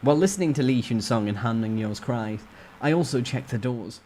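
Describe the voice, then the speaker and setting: A man's voice: mid-range voice, animated, a little fast, a little mellow, slight background noise. A man reading a novel aloud as a dramatic first-person narrator.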